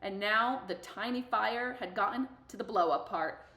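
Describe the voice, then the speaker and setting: A woman's voice: mid-range voice, normal speed, pushed and bright. A woman reading a novel aloud expressively for middle schoolers. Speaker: book reader for middle schoolers. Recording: echoey room.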